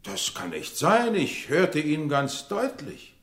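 A man's voice: on the high side, wildly swooping pitch, takes it slowly, full character voice. An actor playing a flustered male stage player in a shepherd role, theatrical and projecting, on an echoing stage in a radio drama. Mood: flustered, urgent. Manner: theatrical, projecting, increasingly urgent.

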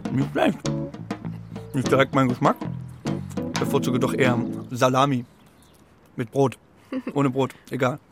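A man's voice: medium pitch, level tone, a little slow, long pauses, faint music behind, natural voice. A man playing a cocky, boastful street pigeon in a children's radio play, swaggering and talking in clipped colloquial bursts. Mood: boastful, gruff. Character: cocky scrappy male street pigeon, boastful and gruff-hearted.

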